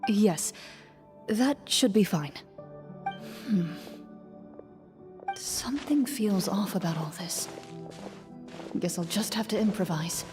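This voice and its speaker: An actress playing a composed, serious young woman who narrates a tabletop adventure in a video game, calm and formal. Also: mid-range voice, slow, long pauses, over music. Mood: pensive. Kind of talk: video game voice acting, scripted storytelling.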